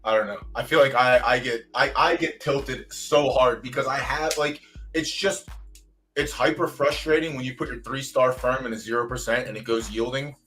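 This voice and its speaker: A man in a mid-range voice hosts a loose podcast chat from his streaming setup. He talks bluntly and swears freely, venting in a fired-up way. Soft music plays faintly underneath. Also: medium pitch, steady tone, moderate pace. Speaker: host.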